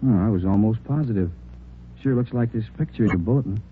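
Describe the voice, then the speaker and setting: An American actor playing a chummy, forgetful, insistent morgue attendant with a casual, folksy manner in a 1940s radio drama. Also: male voice, lowish voice, fast talker, lightly acted. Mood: insistent, doubtful. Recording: muffled 1940s radio, faint hum.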